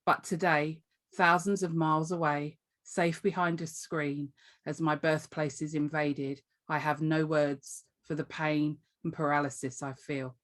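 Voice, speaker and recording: Low slow woman reading a text out loud on a zoom call, careful and steady. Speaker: workshop participant reading aloud. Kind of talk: reading a prose passage aloud. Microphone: video call.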